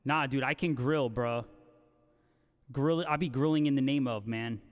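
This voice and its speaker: A man's voice: mid-range voice, a little slow. A man hosting a casual guitar livestream, reading out viewer comments and bantering with joking, slangy talk. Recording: long hall-like reverb on voice.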